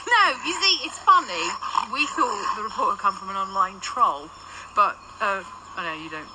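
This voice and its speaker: A woman's voice: mid-range voice, lively intonation, moderate pace, close to an everyday voice. A woman with a British accent playing an irreverent, wisecracking ghost in a naturalistic radio drama. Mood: amused, teasing. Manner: flippant, sardonic, chatty. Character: irreverent, wisecracking female ghost sent to negotiate. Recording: loud ambient noise behind.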